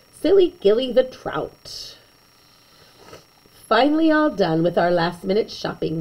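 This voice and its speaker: Woman, bedtime storyteller, slow pace, very animated intonation, chatty asides to children. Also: fairly high pitch, rough recording. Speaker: storyteller reading a picture book aloud to children.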